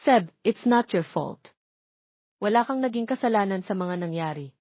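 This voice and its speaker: A woman's voice: mid-range voice, expressive, normal speed, dry recording, muffled recording. A woman voicing a concerned, loyal male friend, earnest and reassuring, in an audio romance drama.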